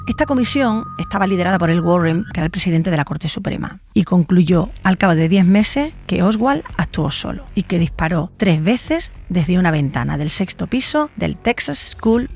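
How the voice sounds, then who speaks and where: A woman's voice: fairly low pitch, darkish tone, a little hiss or hum. A woman narrating a scripted true crime documentary as a podcast host.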